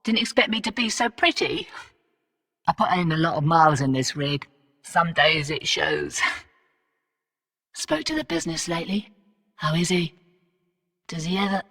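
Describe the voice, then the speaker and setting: A woman's voice: on the low side, big pitch swings, measured pace, pauses often, a bit bright, big-room sound, full character voice. An actress voicing a cocky female rogue fortune hunter and arms dealer in a video game, cheeky, slangy and sardonic. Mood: teasing. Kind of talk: video game character voice lines.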